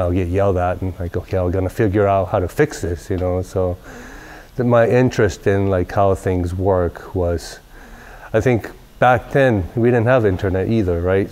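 A man in his forties from Southern California, talking casually in an interview in a large workshop, relaxed and rambling with many fillers. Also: on the low side. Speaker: company president. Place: large workshop garage, indoors.

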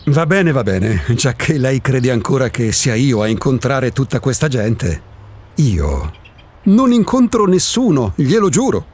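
A man's voice: medium pitch, sing-song, unhurried, a little mellow, slightly rough. An old ghost of a man, played by an actor in a radio drama. He is reclusive, contrarian and philosophical, speaking with wry emphasis. His melody rises and falls widely in a theatrical way. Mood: stubborn.

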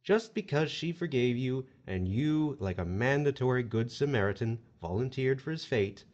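An actor playing a suave, formal afterlife agent, polished and theatrical, in an audio horror drama. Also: male voice, medium pitch, animated, moderate pace, a little mellow, dull audio, lightly acted. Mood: smooth, stern.

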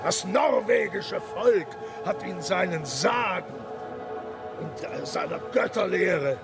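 High-pitched, pompous German emperor. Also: male voice, expressive, creaky, music in the background, full character voice. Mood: grandiose. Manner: declamatory, exalted, drawn-out words. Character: pompous, excitable German emperor, pious and boyish. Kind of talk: radio drama acting.

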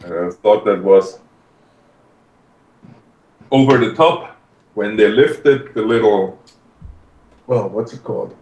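A man talking haltingly and casually while suffering from mouth-burning heat, recorded at home on a video call. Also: lowish voice, a little mellow. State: suffering from burning hot pepper. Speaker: challenge host recovering from a hot pepper. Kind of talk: casual chat. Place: living room at home. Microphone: distant mic on a video call. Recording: echoey, noisy room heard from far off.

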